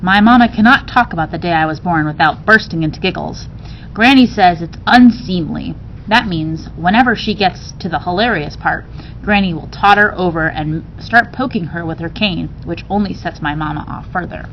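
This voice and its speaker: A woman reading a children's novel aloud with animated expression, occasionally stumbling and rereading a word. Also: moderate pace. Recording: dull sound with faint noise, rumble.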